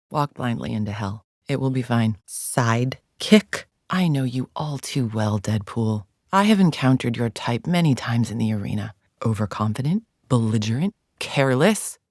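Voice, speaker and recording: A woman's voice: very deep voice, darkish tone, no echo, clean studio sound. An actress playing a haughty alien gladiator in a video game, formal, clipped and menacing as she guides the player with open contempt. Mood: scornful, sarcastic. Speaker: mission guide character.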